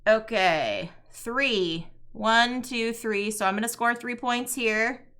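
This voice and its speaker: Woman streamer, chatty and unhurried, bright, smooth voice a touch raspy this morning. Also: fairly high pitch. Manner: chatty, talks to chat viewers, sings playfully. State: slightly raspy before morning tea. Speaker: streamer playing a solo card game. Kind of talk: casual live-stream commentary.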